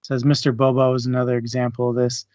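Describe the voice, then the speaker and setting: A man's voice: medium pitch, completely flat delivery, fast talker, a little mellow, no room sound. A man co-hosting a casual book discussion, talking through his thoughts in a conversational way. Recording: dry, muffled online audio.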